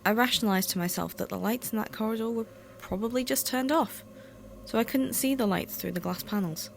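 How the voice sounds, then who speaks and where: A woman's voice: on the high side, fairly quick, vocal fry. A woman reading a scripted first-person horror story as narrator. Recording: faint background noise, amateur recording.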